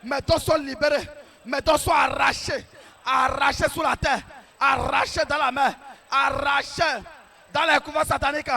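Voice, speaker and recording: A man preaching a fervent deliverance prayer, shouting declarations and repeating each phrase many times. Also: extremely high pitch, steady tone, normal speed, creaky. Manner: repetitive declarations, fervent commanding tone.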